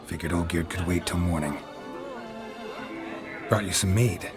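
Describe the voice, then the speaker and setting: A man playing a gruff, laconic monster hunter in a video game, dry and deadpan with some tenderness. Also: sits low, unhurried, music in the background. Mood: tender, reassuring. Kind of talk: video game dramatic acting.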